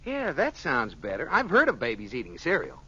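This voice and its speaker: An actor playing an exasperated, flustered suburban husband in an old radio sitcom, stammering and sputtering indignantly. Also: male voice, medium pitch, huge pitch swings, moderate pace, bright, forceful tone, slightly rough, heavily acted. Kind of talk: 1950s radio sitcom acting. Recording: noisy, dull old radio recording.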